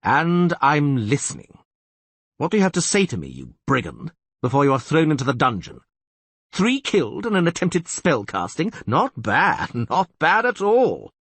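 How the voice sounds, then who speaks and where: A man voicing a pompous middle-aged male castellan who blusters loudly, his pitch swooping high. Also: slightly rough, dry recording, exaggerated voice. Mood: mocking. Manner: loud, theatrical, swoops high.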